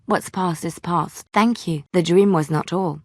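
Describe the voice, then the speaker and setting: An actress voicing a gentle, devout young woman adventurer in a video game, soft-spoken and earnest, by turns wistful and playful. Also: on the low side, measured pace, no room sound, clean studio sound. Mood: reflective. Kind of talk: video game voice acting, intimate dialogue.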